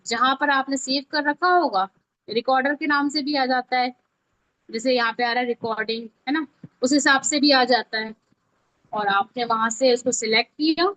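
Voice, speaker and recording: A woman's voice: sits high, normal speed, fairly bright tone, no echo. A woman instructor giving an informal live online tutorial, explaining step by step and often checking that learners follow. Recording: dull lo-fi online call audio.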